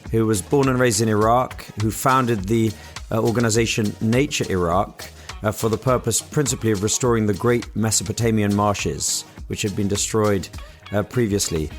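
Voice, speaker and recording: Slightly low-voiced British male podcast host. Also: normal speed, soft background music. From Britain. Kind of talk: conversational interview hosting.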